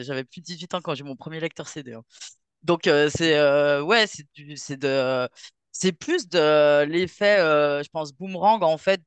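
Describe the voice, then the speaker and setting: A woman's voice: deep voice, clear, resonant tone, no room sound. A woman from France with a French accent, telling her story informally as an interview guest, thinking aloud as she goes. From France, near Saint-Étienne. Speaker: festival founder, interview guest. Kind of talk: casual chat, personal storytelling.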